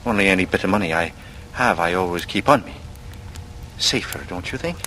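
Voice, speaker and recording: An actor in a vintage radio drama playing a vain, sly young charmer with an ingratiating, needling manner. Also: male voice, deep voice, animated, fairly quick, sharp tone. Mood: casual, pointed. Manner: ingratiating, needling, insinuating, colloquial. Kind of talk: 1940s radio acting. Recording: noisy vintage radio recording.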